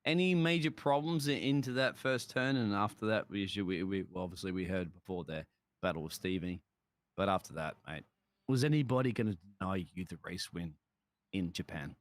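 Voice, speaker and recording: A male race commentator with a fairly low voice. He chats with drivers in a friendly, matey manner, often stumbling and restarting his sentences, and talks into a headset over a call. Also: brisk, no room sound. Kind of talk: sim racing commentary and interview.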